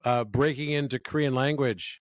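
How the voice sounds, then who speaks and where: A man's voice: mid-range voice, moderate pace, no echo. A man in his fifties, a guest in a conversational interview, telling stories with enthusiasm. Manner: enthusiastic, storytelling.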